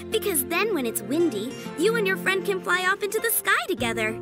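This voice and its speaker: A woman's voice: very high-pitched, very animated, normal speed, over music. A woman voicing a dreamy, friendly little girl in a video game, childlike, giggly and earnest.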